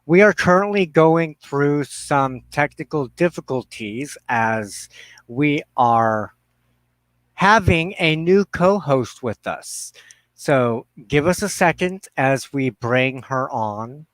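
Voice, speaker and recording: A man hosting a livestream, making introductions and announcements in a halting, deliberate way with pauses mid-phrase. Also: fairly high pitch, lively intonation, a bit bright, dry recording, poor audio. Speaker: host of a religious broadcast show.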